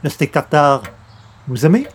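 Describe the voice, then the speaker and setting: An actor playing a grumbling, playful newspaper columnist with theatrical, hesitant asides, in the style of 1940s radio comedy. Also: male voice, a little high, big pitch swings, unhurried, slightly rough, full character voice. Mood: polite, sly.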